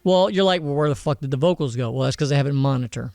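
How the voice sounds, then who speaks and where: A man hosting a casual software tutorial in an informal, slangy way, swearing now and then. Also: mid-range voice, racing, vocal fry, loud music behind the voice. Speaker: tutorial host.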